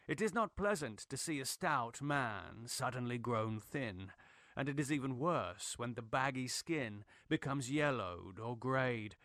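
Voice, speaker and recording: A man reading gothic horror as a dramatic narrator, in a grave, measured, theatrical manner. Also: mid-range voice, a bit bright, no room sound, clean studio sound. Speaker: narrator, audiobook reader.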